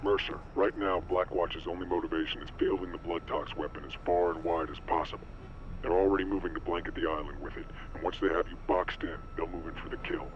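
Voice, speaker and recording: An actor playing a shadowy, commanding informant with a clipped, ominous delivery in a video game. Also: male voice, deep voice, fairly even intonation, fast, natural voice. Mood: urgent, commanding. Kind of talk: cryptic video game exposition. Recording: muffled radio call, clear noise. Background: noise, music.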